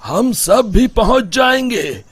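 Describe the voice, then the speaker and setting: A man playing an agreeable, worried village uncle in a cartoon story, with a husky voice and deliberate manner. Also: very high-pitched, very animated, dry recording, heavily acted. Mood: worried, respectful. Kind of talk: Hindi cartoon story dialogue.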